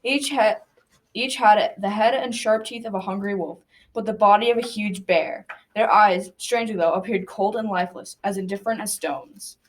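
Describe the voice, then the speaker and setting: A woman's voice: medium pitch, normal speed, natural voice. A girl of about twelve or thirteen narrating a folktale radio drama from a script, occasionally tripping over words. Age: child, around 12-13. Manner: read aloud with stumbles. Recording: noisy amateur school recording.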